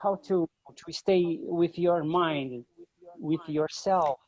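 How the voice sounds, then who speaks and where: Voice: mid-range voice, slow pace, a little mellow. Host in broken English, dull audio. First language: not English. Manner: broken English, repeats words. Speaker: interview host. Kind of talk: casual interview conversation in English.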